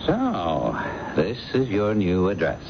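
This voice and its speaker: Deep gravelly detective, needling and patient, old radio play, muffled noisy sound. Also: male voice, wildly swooping pitch, takes it slowly, exaggerated voice. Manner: probing, dryly insinuating. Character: shrewd, patient, needling male police inspector. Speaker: radio drama actor. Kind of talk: 1970s radio drama acting.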